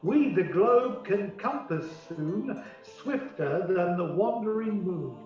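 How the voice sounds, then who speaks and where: An actor playing a lordly fairy king, declaiming verse with slow, stately deliberation in a radio play. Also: high-pitched, lively intonation. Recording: distant, muffled, cavernous echo with music.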